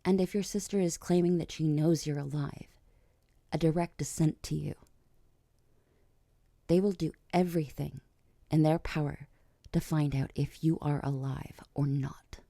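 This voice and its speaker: Woman acting as composed, mellow vampire queen. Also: low voice, steady tone, measured pace, pauses often, no echo. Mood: measured, serious. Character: regal, teasing vampire queen, composed older-cousin type. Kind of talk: ASMR audio roleplay acting.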